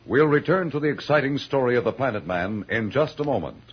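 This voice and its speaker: A male announcer delivers dramatic vintage radio narration. His pitch moves in a lively way over music, and the sound is muffled like an old broadcast. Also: brisk, slightly rough.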